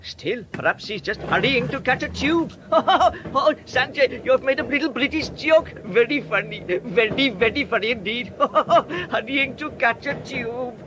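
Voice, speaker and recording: An actor playing a chirpy, over-polite accomplice with an Indian accent in a British comic radio play, effusive and repetitive. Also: male voice, extremely high pitch, lively intonation, a little slow, few pauses, highs cut off, noisy background. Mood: cheery, welcoming.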